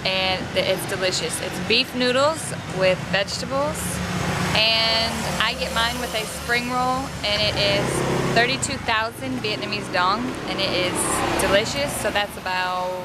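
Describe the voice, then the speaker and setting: Upbeat young woman vlogging on a loud street. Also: mid-range voice, unhurried. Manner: chatty, upbeat, laughs easily. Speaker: travel vlogger. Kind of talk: casual travel vlog. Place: outdoors on a busy street. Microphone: handheld camera. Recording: loud street and traffic noise. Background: traffic noise.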